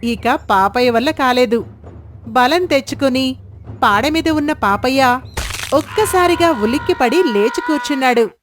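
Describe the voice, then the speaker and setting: A woman's voice: high voice, lively intonation, a little slow, a little hiss or hum. A woman narrating a moral folk tale in a scripted storybook style.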